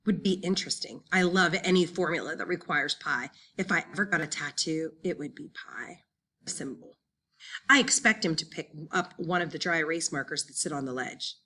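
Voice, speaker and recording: Casting: female audiobook reader, forward bright tone, slightly low register, dramatic first-person delivery for young readers' fiction. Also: moderate pace. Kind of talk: expressive first-person children's novel narration.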